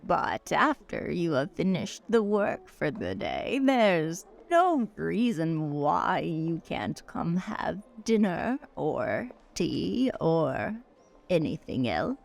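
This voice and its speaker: Woman as a slow, creaky old noblewoman. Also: low voice, very animated, full character voice. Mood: coaxing. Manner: drawn-out, imperious, coaxing. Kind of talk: improvised tabletop roleplay.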